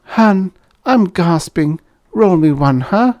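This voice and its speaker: Man reading as a young wife, teasing, slangy, playful, raised pitch, audiobook character. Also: expressive, slow pace, darkish tone, no echo. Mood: casual, craving. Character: teasing, pert young wife in a science fiction story.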